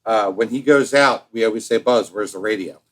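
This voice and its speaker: An American man co-hosting a podcast in casual, bantering talk, with an animated, showy and teasing manner. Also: on the low side, a little fast. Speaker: podcast co-host.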